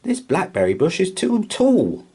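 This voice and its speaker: A small, indignant female mouse from a bedtime storybook, played by a man. The voice is squeaky and pushed well up high. It speaks earnestly and emphatically, with a very animated melody. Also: unhurried, breathy edge, heavily acted. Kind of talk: children's storybook character voice.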